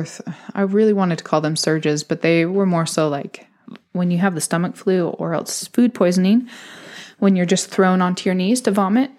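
A woman's voice: lowish voice, a little mellow. A young woman telling her own story in a candid, chatty way, laughing often and mimicking voices when she quotes. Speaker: podcast host telling her birth story. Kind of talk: casual personal storytelling.

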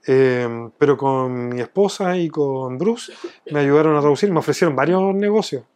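A man's voice: fairly high pitch, normal speed, a little mellow. A man from Chile with a Chilean accent, explaining things from his own experience in a conversational interview. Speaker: business owner being interviewed.

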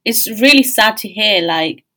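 A woman's voice: mid-range voice, expressive, a little slow, pushed and bright. A woman hosting a casual podcast interview, responding in a sympathetic, informal way. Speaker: podcast host.

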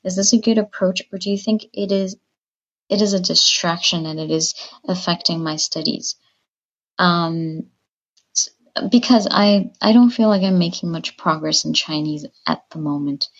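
A woman asks her question in an earnest, slightly hesitant way. Her voice sits fairly low for a woman. The recording is dull and lo-fi, with the top end rolled off. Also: fairly even intonation, moderate pace, husky. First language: Spanish. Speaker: listener sending in a question.

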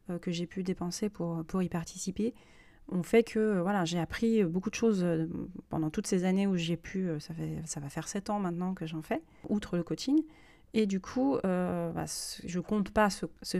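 A woman's voice: medium pitch, creaky, mellow, no room sound. A woman hosting a personal podcast, speaking unscripted and reflectively, often hesitating as she searches for her words. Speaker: podcast host and self-published author.

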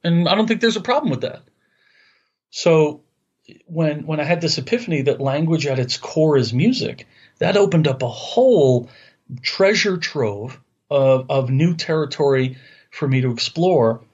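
A man's voice: a little high, animated, a little slow, a little mellow, breathy edge, muffled recording. A man in his fifties from Long Island with a New York accent, talking earnestly as an interview guest and often repeating words while he searches for phrasing. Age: 50s. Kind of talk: conversational interview.

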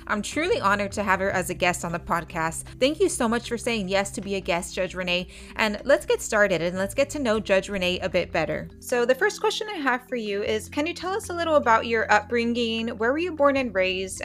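A woman with a mid-range voice hosts a podcast in an upbeat, welcoming way. She introduces her guest and asks questions with clear enthusiasm. Faint music plays under her. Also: mid-range voice, lively intonation, brisk, few pauses, fairly bright tone. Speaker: podcast host.

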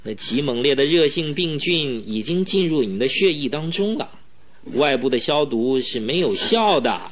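A man's voice: mid-range voice, lively intonation, normal speed, lightly acted. A man acting in a radio drama as a smug, cruel villain, a murderer who gloats and taunts his victim. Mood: cruel, triumphant. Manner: taunting, smug, self-satisfied.

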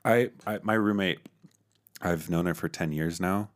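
A man's voice: sits low, measured pace. A young man co-hosting a podcast in casual chat, dry and joking, often laughing. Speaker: podcast co-host.

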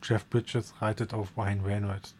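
A man's voice: lowish voice, flat delivery, a little slow, heavy background noise. A man chatting casually about films and comics, telling stories in a rambling way and laughing often. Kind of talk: casual chat.

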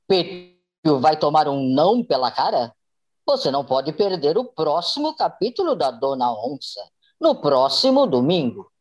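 A woman's voice: sits low, very animated, moderate pace, a little mellow, slightly rough, highs cut off. A woman narrating a radio soap as a dramatic scripted announcer.

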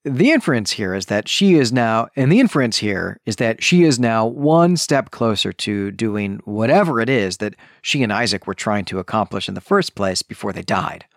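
A man's voice: lowish voice, big pitch swings, normal speed, few pauses, dry recording, studio quality. A man hosting a podcast, explaining a book in a conversational, emphatic way. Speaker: podcast host. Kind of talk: conversational book recap commentary.

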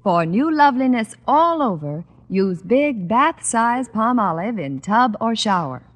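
A woman's voice: fairly high pitch, big pitch swings, a little slow, very noisy. A woman reading scripted advertising copy as an announcer on vintage radio. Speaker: commercial announcer.

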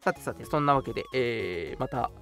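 A man's voice: medium pitch, animated, brisk, creaky, a bit bright, soft background music. A man hosting a radio show, giving announcements in a polite, chatty way. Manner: polite, chatty, frequent interjected particles. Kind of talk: casual scripted-segment radio announcements.

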